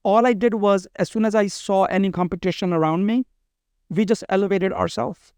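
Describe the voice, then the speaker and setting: A man's voice: sits high, steady tone, fairly quick, darkish tone, smooth, no echo, studio quality. A man talking casually as an interview guest, earnest and often repeating his phrases. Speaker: podcast guest, bridal expo organizer.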